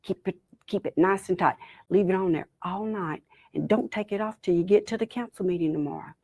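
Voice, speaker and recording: A woman's voice: mid-range voice, brisk, darkish tone, dry recording, lightly acted. A woman voicing a sly trickster male rabbit who sounds falsely friendly, in an animal folktale. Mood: instructing.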